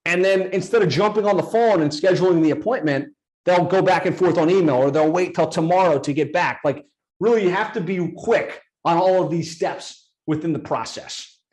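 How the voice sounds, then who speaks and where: Man, workshop host, brisk coaching tone, higher-pitched voice, video call audio. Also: a little mellow, low-quality recording. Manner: brisk, coaching, acts out sample sales lines. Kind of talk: live webinar teaching.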